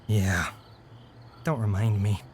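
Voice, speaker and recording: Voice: sits low, fairly even intonation, takes it slowly, a little mellow, slight background noise. An actor playing a hapless, scheming young male vampire suitor in a comedy horror audio drama, with coaxing, theatrical delivery. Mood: put out.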